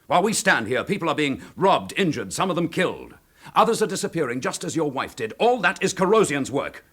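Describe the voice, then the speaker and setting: An actor playing a dogged, frustrated police chief inspector in a radio drama, with a brisk, probing, forceful manner. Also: male voice, on the high side, sing-song, breathy edge, exaggerated voice. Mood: exasperated.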